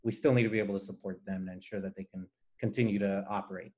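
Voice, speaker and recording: A man's voice: sits low, steady tone, racing, dark tone. A man from Nicaragua whose first language is Spanish, giving earnest, explanatory answers as a guest engineer in a conversational interview.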